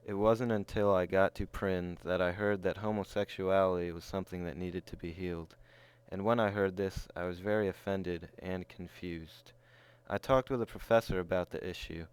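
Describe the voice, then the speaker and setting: A man's voice: deep voice, fairly even intonation, moderate pace, smooth, no room sound. A man reading a written first-person account aloud. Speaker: reader of personal testimony.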